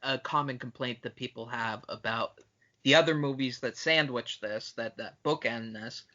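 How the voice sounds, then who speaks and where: A man's voice: mid-range voice, moderate pace, sharp tone, muffled recording. A man co-hosting a casual fan podcast, opinionated and sweary, sometimes ranting in mock-grand phrases. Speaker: podcast co-host.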